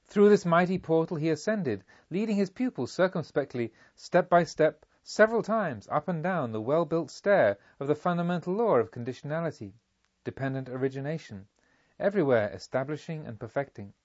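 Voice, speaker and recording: A man reading scripted literary narration in a solemn, deliberate and measured manner. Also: a little high, lively intonation, a little mellow, dull audio. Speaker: audiobook narrator.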